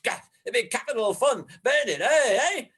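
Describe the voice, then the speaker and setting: A man's voice: very high voice, animated, normal speed, breathy edge, exaggerated voice. A man playing a blustering Yorkshire farmer. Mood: jealous rage. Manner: bluff, blustering, shouting at times.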